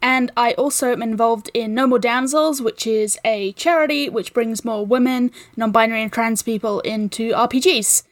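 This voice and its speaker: Higher-voiced woman, playful podcast guest. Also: normal speed, hardly any pauses, no room sound, studio quality. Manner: playful, wry, enthusiastic. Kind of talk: casual chat.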